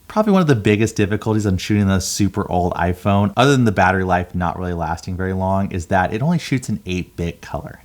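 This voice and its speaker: Very deep-voiced man explaining casually. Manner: conversational, explanatory. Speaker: video creator and channel host. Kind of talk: casual vlog talk and editing walkthrough.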